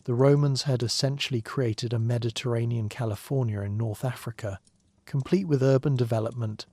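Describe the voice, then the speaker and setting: A man narrating a documentary in a gentle, even, scripted way, with dry asides. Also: medium pitch, fairly quick, no room sound. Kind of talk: calm scripted sleep narration.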